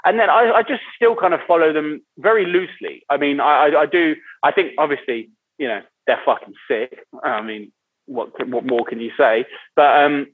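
A man's voice: on the high side, moderate pace, husky. A man on a podcast, chatting in a laid-back, teasing way and swearing casually. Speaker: podcast co-host. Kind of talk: casual chat. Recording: thin phone-like remote line.

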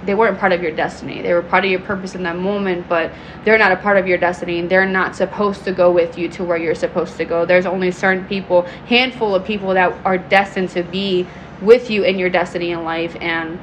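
A woman co-hosting a podcast, talking earnestly and reflectively about her faith, often pausing on "um". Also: fairly low pitch, a bit bright, a little hiss or hum, rough recording. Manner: earnest, reflective, frequent "um" pauses. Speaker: podcast co-host. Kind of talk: casual faith testimony chat.

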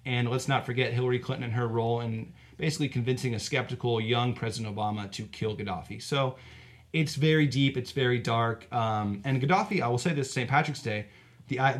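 A man co-hosting a comedy podcast, talking in an opinionated, casual way. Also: mid-range voice. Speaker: podcast co-host.

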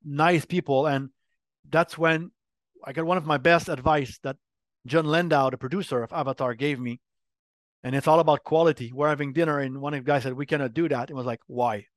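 A middle-aged French Canadian man, a native French speaker, answering interview questions in a candid, animated way. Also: a little high, fairly quick, no echo. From Quebec, Canada. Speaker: guest, brand marketing head.

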